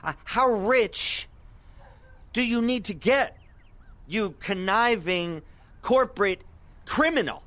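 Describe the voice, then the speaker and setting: An actor playing a theatrical, righteous rabble-rousing internet radio host, with a deliberate, taunting manner, in an audio drama. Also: male voice, high-pitched, lively intonation, fairly bright tone, dry recording, full character voice. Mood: indignant, taunting.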